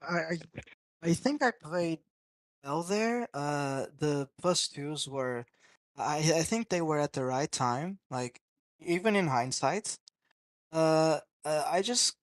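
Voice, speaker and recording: A man's voice: a little high, lively intonation, slow, no echo. A man answering as a player in a casual post-game interview, hesitant and often stammering into restarts.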